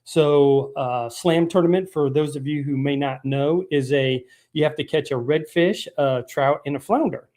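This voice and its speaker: Froggy-voiced fishing show host. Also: male voice, a little high, moderate pace, few pauses, darkish tone. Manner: rambling, with many ums and restarts. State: froggy voice from a lingering cough. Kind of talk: live stream show talk. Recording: live stream broadcast.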